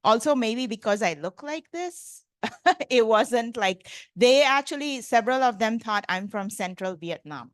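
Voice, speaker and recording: A woman from India lectures at a conference in a chatty, loose way, scattering "like" and "you know" through her sentences. Her voice sits a little high. The sound is dry and close. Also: lively intonation, unhurried, no room sound. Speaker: professor lecturing.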